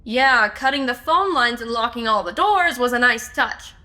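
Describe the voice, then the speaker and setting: A woman playing a brisk, level-headed, matter-of-fact amateur investigator in a comedy audio drama. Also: on the high side, expressive, sharp tone. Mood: demanding.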